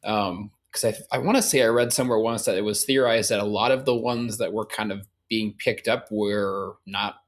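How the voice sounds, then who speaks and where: A man's voice: lowish voice, fairly even intonation, moderate pace, few pauses. A man chatting casually as a podcast guest in a loose, informal, joking way.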